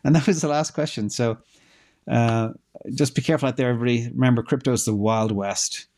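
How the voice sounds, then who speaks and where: A man's voice: medium pitch, fairly even intonation, a little fast, darkish tone. A middle-aged man who is losing his voice hosts a casual livestream, answering viewer questions and thinking aloud with fillers while he checks data.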